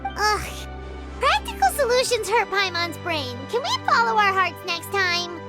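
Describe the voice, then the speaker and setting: A tiny, childlike girl companion in a video game, with a very high, bouncing voice that swoops up and down. She talks slowly and drawn out, blurting every reaction, over game music. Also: big pitch swings, exaggerated voice. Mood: whiny.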